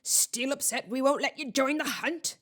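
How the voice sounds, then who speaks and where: A woman's voice: sits high, normal speed, a bit bright, pitched above the performer's own voice. A woman voicing a large bearded male ghost, hearty and mocking, roaring with blustering joviality in a storybook.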